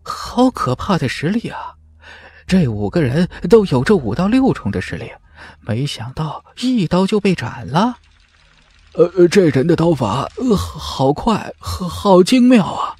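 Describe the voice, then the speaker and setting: An awestruck fighter, voiced by a male narrator, exclaims in a raised, halting voice. He sounds frightened, in fantasy audiobook style. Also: fairly high pitch, big pitch swings, a little mellow, breathy edge, voice pushed up, heavily acted. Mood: shocked awe. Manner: stammering, exclaiming. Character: awestruck, frightened male martial-artist onlookers.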